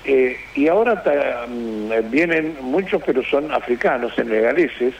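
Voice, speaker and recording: Argentine man, radio host, creaky gravelly voice, halting casual talk, dull hissy recording. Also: medium pitch, lively intonation. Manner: halting, searching for words. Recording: dull radio sound with hiss.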